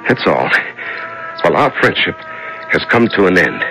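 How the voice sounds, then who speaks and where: An actor playing a weak, exhausted prisoner in solitary confinement, murmuring to a mouse in a 1970s radio drama. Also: male voice, low-pitched, sing-song, unhurried, vocal fry, full character voice, low-quality recording. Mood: bitter. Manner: murmuring to a mouse, fading and breaking. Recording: old radio drama with music.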